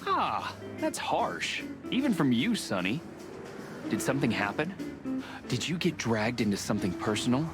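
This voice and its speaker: An actor playing a nervous, nosy seagull in a noir video game, chattering and stammering in an ingratiating way. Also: male voice, medium pitch, very animated, moderate pace, over music, natural voice. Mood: prying, excitable.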